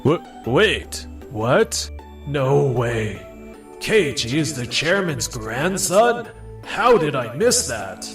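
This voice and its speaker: A man's voice: mid-range voice, very animated, very slow, a bit bright, faint music behind, full character voice. A man playing a defensive, blustering middle manager in an anime-style manga dub. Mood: startled. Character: defensive, flustered male middle manager, eager to placate.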